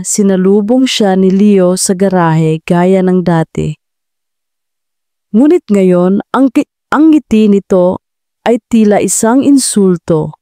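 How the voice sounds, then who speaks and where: A woman tells a scripted audio drama in a measured, dramatic way. Her voice is warm and mellow. The sound is dry and close to the mic. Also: medium pitch, no room sound. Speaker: storyteller.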